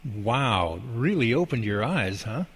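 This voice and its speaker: Guy playing grateful earnest workman in a radio play, bouncy pitch, lots of reverb. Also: sing-song, slow, breathy edge, exaggerated voice. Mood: amazed, grateful. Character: eager, grateful, devout male employee and buddy. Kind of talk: amateur radio drama dialogue. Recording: long hall reverb.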